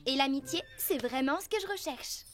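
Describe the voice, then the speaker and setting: A woman's voice: sits high, expressive, normal speed, faint music behind. A woman playing a sincere, modest teenage rich girl in a French cartoon dub, speaking earnestly.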